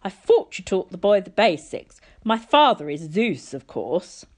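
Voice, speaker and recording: A woman's voice: medium pitch, sing-song, a little slow. A woman voicing a petulant, pompous, middle-aged male wine god in a storybook reading, whiny and theatrical. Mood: scornful. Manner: pouting, theatrical, sardonic.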